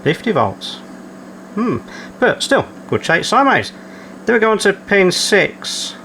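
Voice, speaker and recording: A man hosting a repair video, thinking aloud step by step as he gives hands-on technical commentary. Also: on the high side. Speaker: repair channel host. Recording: clearly noisy, steady hum behind.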